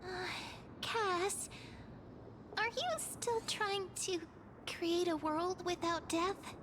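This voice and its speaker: An actress voicing a childlike, questioning companion character in a video game. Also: female voice, very high voice, very slow, heavily acted. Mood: hesitant. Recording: game audio with clear background noise.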